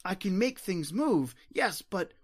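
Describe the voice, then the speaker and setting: A woman's voice: medium pitch, sing-song, slow pace, husky, heavily acted. A woman playing an anguished disembodied soul in a melodramatic old-time radio horror style. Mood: haunted. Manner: melodramatic, impassioned. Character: anguished disembodied soul trapped in purple twilight, pleading.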